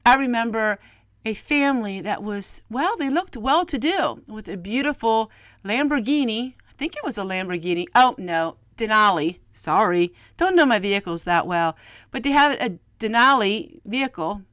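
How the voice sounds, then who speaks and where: An American woman vlogger sharing a personal, reflective monologue with viewers in an earnest, gently preachy manner, often posing rhetorical questions. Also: a little high, lively intonation, moderate pace, dry recording, clean studio sound.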